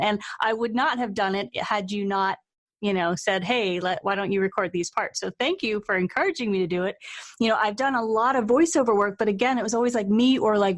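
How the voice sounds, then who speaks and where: A woman hosting a casual interview, chatty and giggly in an informal, conversational way. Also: medium pitch. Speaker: interview host, author and voice-over performer. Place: remote video call from another country.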